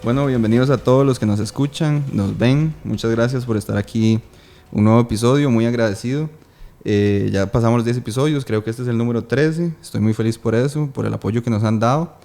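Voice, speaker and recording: A young man with a Costa Rican accent hosting a casual interview podcast, asking questions in a relaxed, friendly way. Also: medium pitch, brisk. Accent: Costa Rican Spanish. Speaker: podcast host.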